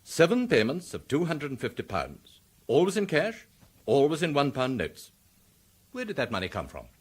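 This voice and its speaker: An actor playing a stern, haughty senior police chief, speaking in a cold, clipped, imperious manner in a radio drama. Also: male voice, medium pitch, huge pitch swings, moderate pace, husky. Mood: interrogating. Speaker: police commissioner figure.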